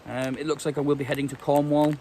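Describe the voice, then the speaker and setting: A man's voice: on the high side, level tone, fast, mellow, a little hiss or hum. A British man with a stuffy cold, chatting casually in a vlog with lots of ums and restarts. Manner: rambling, many ums and restarts. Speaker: vlogger. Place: outdoors in woodland.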